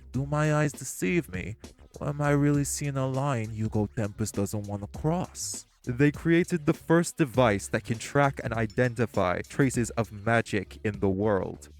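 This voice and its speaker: A man voicing hostile crime bosses in an audio roleplay drama, profane and confrontational, with soft music behind. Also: mid-range voice. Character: hostile male crime bosses, magic gangsters.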